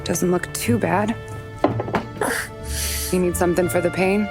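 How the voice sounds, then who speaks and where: Tough caring 1930s american gal, lowish voice, blunt n wry, game cutscene, music under it. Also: female voice, steady tone, a little slow, gravelly. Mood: blunt concern. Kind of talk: naturalistic video game cutscene acting. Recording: music over the dialogue.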